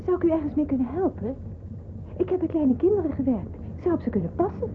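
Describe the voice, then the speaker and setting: Radio play casting: caring, courageous young nurse, gentle higher voice, earnest delivery, thin tinny old recording. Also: female voice, expressive, fast talker, noisy background. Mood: polite, earnest. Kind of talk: Dutch radio play acting, dialogue and inner monologue.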